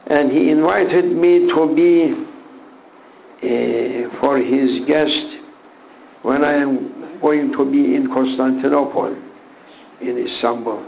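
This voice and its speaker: Slow, gravelly sheikh. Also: male voice, medium pitch, reverberant, muffled recording, slight background noise. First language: Turkish. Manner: halting, broken English phrasing with pauses. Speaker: Sufi sheikh. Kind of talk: storytelling religious talk.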